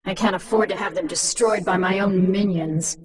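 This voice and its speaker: A woman's voice: medium pitch, fairly even intonation, moderate pace, distant mic, a crowd in the background, natural voice. A woman voicing a ruthless, sardonic alien-hybrid queen in a video game, speaking with mocking, commanding menace. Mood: cold command. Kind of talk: scripted video game voice acting.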